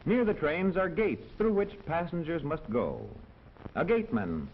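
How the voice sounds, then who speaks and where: A man narrating a 1940s educational film in a measured, instructional manner, on a muffled old soundtrack. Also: a little high, wildly swooping pitch, slight background noise. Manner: clear, measured, instructional delivery.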